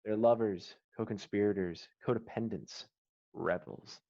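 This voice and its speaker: A man's voice: on the low side, unhurried, gravelly, dark tone. Man narrating screenplay, slightly muffled. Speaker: stage-directions narrator. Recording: dull, slightly muffled online call audio.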